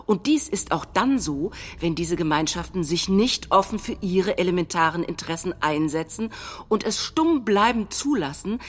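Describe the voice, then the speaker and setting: A woman reading quoted political statements in a measured, declamatory way, as in scripted documentary theatre. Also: mid-range voice. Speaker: stage reader of political quotations.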